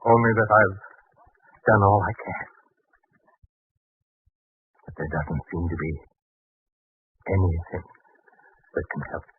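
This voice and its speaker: An actor playing a troubled, doubting father in biblical times, grave, halting and earnest, in 1940s radio drama style. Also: sits low, husky, dry recording, heavily acted. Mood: anguished, musing. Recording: muffled old 1940s radio broadcast, phone-like.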